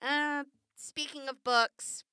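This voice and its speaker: A woman's voice: high-pitched, fairly even intonation, takes it slowly, bright, forceful tone, dry recording, studio quality, full character voice. A woman playing a blunt, foul-mouthed young woman raised among wasteland raiders in a radio drama. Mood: stern, scolding. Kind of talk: post-apocalyptic audio drama acting.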